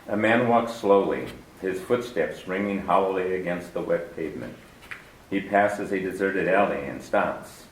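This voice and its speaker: Formal 1940s radio host, roomy and distant. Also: male voice, sits low, a little hiss or hum. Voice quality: formal, stately delivery. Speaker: radio drama host and narrator. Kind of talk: 1940s radio broadcast introduction and narration.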